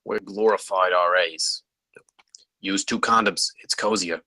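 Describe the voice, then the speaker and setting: A man acts a jaded campus security guard in a comedy script reading. The voice is very low with a hard, forward edge. He delivers everything deadpan, as plain fact. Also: a little slow, heavily acted, rough recording. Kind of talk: screenplay table read.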